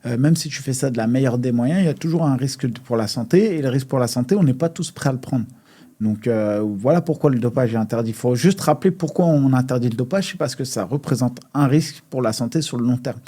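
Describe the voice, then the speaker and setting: A man's voice: medium pitch, fast talker, warm tone, husky. A man, a fighter from France, talking casually and emphatically on a podcast, with frequent fillers and restarts. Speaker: MMA fighter guest.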